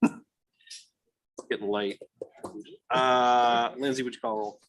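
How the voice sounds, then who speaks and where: A man with a Midwestern American accent chairing a city council meeting, moving briskly through agenda items. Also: mid-range voice, fairly even intonation, slight background noise, rough recording. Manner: brisk, procedural.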